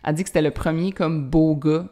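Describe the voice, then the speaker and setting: A woman in her thirties talks through a solo podcast episode with a strong Quebec French accent. Her voice sits low for a woman, and she rambles in a loose, friendly way, dropping fillers and bits of English slang as she goes. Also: steady tone, fairly quick, warm tone. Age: 30s. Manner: casual, chatty, many fillers and anglicisms. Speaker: podcast host.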